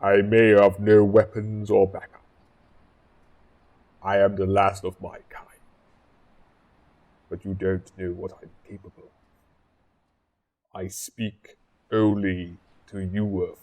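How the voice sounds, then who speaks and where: A man's voice: deep voice, level tone, takes it slowly, long pauses, a little mellow, low-quality recording. An actor playing a proud, vengeful alien warrior general in an audio drama, pompous and threatening in his declamation. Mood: cold threat.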